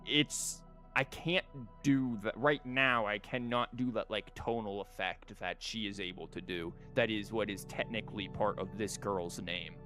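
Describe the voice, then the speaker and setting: A man's voice: fairly low pitch, bright, forceful tone. A man giving casual, rambling and wry commentary as he livestreams a video game. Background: game music.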